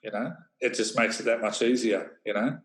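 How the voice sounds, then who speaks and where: An echoey call carries a man's medium-pitched voice. He chats casually as a participant, plain-spoken and slightly hesitant in how he phrases things. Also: normal speed, fairly bright tone. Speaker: program participant. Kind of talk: casual chat on a group call. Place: live online video call. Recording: roomy, echoey video-call sound.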